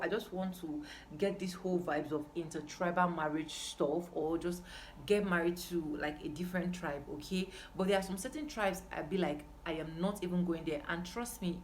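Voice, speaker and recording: A young woman with a Nigerian accent talks casually to her viewers in a fairly low voice. She is emphatic, often repeating a phrase to stress it. There is faint noise behind her. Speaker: vlogger. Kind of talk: casual chat to camera.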